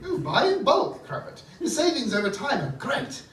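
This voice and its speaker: A man's voice: extremely high pitch, sing-song, moderate pace, a bit bright, heavily acted. A man playing a fussy, petty evil doctor villain in a stage comedy, with hesitant theatrical delivery. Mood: scheming, fussy. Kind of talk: live stage comedy acting. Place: theatre stage, live performance. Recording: echoey, distant, noisy theatre recording.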